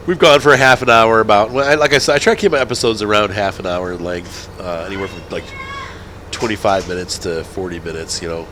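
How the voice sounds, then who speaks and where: A man's voice: fairly low pitch, fairly quick, background noise. A man with a head cold and a stuffed-up nose, casually chatting and commentating over a farming game, rambling and correcting himself as he goes. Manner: rambling, self-correcting, chuckling. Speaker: gaming channel host. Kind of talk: casual let's-play commentary.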